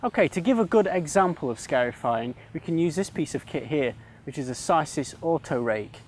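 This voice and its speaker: A man's voice: fairly high pitch, expressive, fairly quick. A man explaining and demonstrating as an instructor in an educational film.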